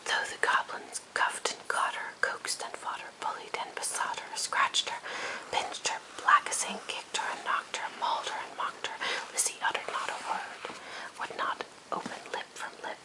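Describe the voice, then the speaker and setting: A woman reading a narrative poem as ASMR in a breathy, unvoiced whisper. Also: normal speed, poor audio.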